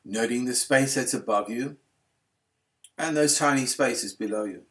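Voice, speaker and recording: A man guiding a relaxation meditation as a yoga nidra instructor, speaking in a calm, soothing, unhurried manner with gentle phrasing. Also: mid-range voice.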